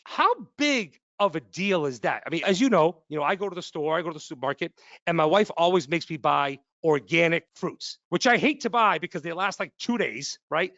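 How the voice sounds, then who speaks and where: A man's voice: fairly high pitch, animated, a little fast, a bit bright, no room sound, lo-fi sound. A man hosting a podcast in a casual, chatty way, telling anecdotes and following them with repeated questions. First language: English and Polish speaker. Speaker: podcast host, company CEO. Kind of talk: casual chat, anecdotal interviewing.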